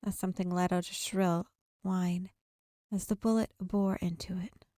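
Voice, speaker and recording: Mellow-voiced woman reading a story aloud. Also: lowish voice, little pitch movement, moderate pace, no echo, clean studio sound. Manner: narrative storytelling read-aloud. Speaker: gaming video host. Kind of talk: reading visual novel text aloud. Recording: game music behind the voice. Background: soft game music.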